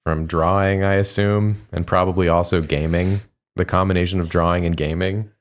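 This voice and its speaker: A man's voice: deep voice, fairly even intonation, moderate pace. A tired man co-hosting a gaming stream, chatting casually and joking with lots of restarts.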